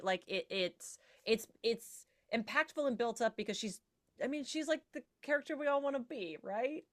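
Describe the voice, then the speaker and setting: A woman's voice: mid-range voice, a bit bright. Emphatic, informal woman on a panel. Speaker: podcast panel guest. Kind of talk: casual, animated panel chat.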